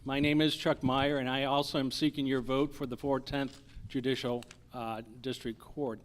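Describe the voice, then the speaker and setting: A man's voice: mid-range voice, level tone, moderate pace. A man, a lawyer and judicial candidate, giving a campaign introduction with hesitant stumbles and repeats. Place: live candidate forum.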